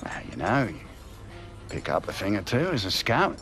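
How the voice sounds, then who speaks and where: A man's voice: lowish voice, sing-song, moderate pace, creaky, fairly bright tone, heavily acted. An actor playing a wry, coaxing middle-aged estranged father in a video game cutscene. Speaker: football scout, estranged father. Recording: score music underneath.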